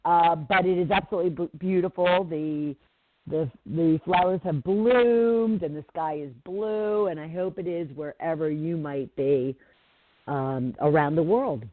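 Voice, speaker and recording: A woman's voice: lowish voice, measured pace. A middle-aged woman hosting a live talk radio show, chatting casually with a slightly nasal voice and lots of fillers and restarts. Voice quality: nasally from pollen. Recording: tinny phone-like line.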